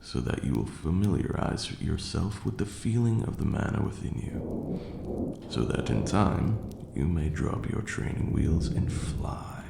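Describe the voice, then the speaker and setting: A man playing an ancient vampire lord in an intimate ASMR roleplay, haughty yet tender, speaking formally and with measured care in a deep, gravelly murmur. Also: expressive, exaggerated voice. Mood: exasperated, instructive. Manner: measured, formal archaic diction, condescending yet doting. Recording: roomy echo, faint background noise.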